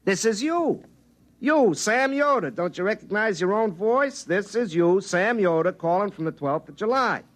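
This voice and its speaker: A man's voice: sits high, animated, moderate pace, a bit bright, no echo. An actor playing a folksy young lineman in love, talking colloquially in a 1950s radio drama style. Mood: excited, insistent. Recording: vintage radio broadcast with music.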